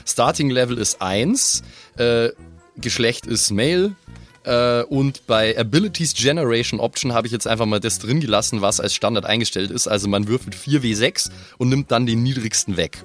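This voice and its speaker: A man casually explaining game character creation as a podcast co-host. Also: medium pitch. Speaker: podcast co-host and tabletop role-player. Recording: music playing behind. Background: background music.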